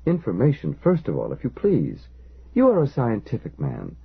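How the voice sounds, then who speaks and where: A man's voice: mid-range voice, huge pitch swings, normal speed, vocal fry, slightly rough, voice pushed up, exaggerated voice. A man voicing a frightened, hesitant middle-aged storekeeper who pleads anxiously and insistently. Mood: pleading. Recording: dull lo-fi recording.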